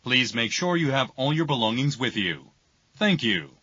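A man reading scripted subway announcements in a formal, deliberate manner. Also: medium pitch, sing-song. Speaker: English train announcer. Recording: noisy, dull lo-fi train recording.

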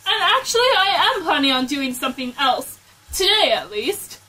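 A woman's voice: high-pitched, big pitch swings, normal speed, bright, forceful tone, heavily acted, low-quality recording. A woman playing a perky, vain young secretary who babysits, in a comic audio drama. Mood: chatty, breezy.

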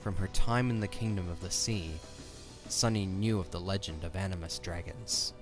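Male narrator for fantasy audio drama: low-pitched, scripted storytelling, faint music underneath. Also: normal speed.

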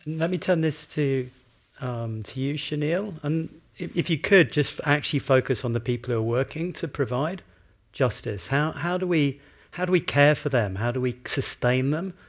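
A man moderating a conference panel, measured and posing questions with small restarts. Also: a little high. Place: conference room.

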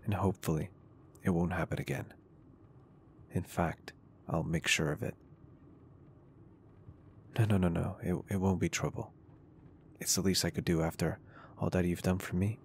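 Man acting a deep, gravelly, caring business owner. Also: fairly even intonation, normal speed, long pauses, a little hiss or hum. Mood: tender, earnest. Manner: soft-spoken, reassuring, polite and earnest. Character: caring, flirty, confident male business owner, gently protective. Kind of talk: intimate ASMR roleplay acting.

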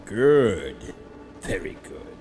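A man playing a menacing, suspicious old galactic Emperor in a sci-fi audio drama, with drawn-out breathy menace. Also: lowish voice, big pitch swings, a little mellow, a little hiss or hum, full character voice. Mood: gloating. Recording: prominent film music behind the voice.

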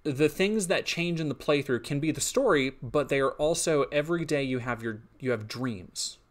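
A man's voice: fairly high pitch, expressive, moderate pace. Brief: young male streamer, drowsy and a bit sniffly, meandering casual chat full of restarts. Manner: rambling, trails off with frequent ums and restarts. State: a little sniffly and sleepy. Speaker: streamer and indie game developer.

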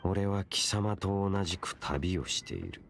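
Very deep, solemn male wanderer. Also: sing-song, creaky, slight background noise, exaggerated voice. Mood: grave, measured. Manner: measured, stern, detached. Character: solemn, mysterious young male wandering warrior, cold and formal. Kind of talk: Japanese game voice acting.

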